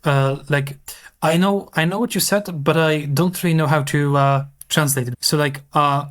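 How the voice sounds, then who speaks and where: A man's voice: fairly high pitch, fairly even intonation, moderate pace, a bit bright. A man talking as a guest in a casual chat, tentative and stopping to search for words. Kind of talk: casual conversation.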